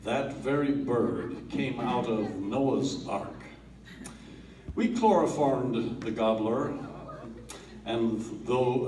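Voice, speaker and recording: A man giving a dramatic reading of a comic poem at a family gathering, theatrical, with swells and hushed drops. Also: mid-range voice, a little hiss or hum. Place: family holiday gathering in a room. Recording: roomy, distant home video.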